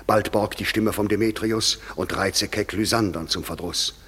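A man's voice: fairly low pitch, fairly even intonation, moderate pace, fairly bright tone, breathy edge. An actor playing a solemn, commanding fairy king who declaims verse in a stately way in a radio play. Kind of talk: classic German radio play acting. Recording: long reverb with music underneath.

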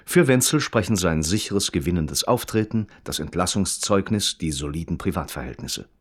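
A male radio play narrator with a very low voice. He is detached and observant, measured and precise, yet his intonation moves widely through the scripted prose. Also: expressive. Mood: detached, matter-of-fact.

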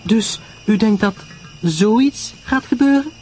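A man voicing an excitable society woman in an audio drama, speaking breathlessly and haltingly in agitation. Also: high-pitched, soft background music, voice pushed up. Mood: shocked disbelief.

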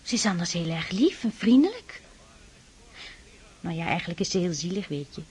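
An actress playing a shy, devout aristocratic sister in a Dutch radio drama, speaking earnestly and tenderly, with pleading admonishment. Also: female voice, on the low side, very animated, normal speed, darkish tone, breathy edge, full character voice. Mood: shy, confiding.

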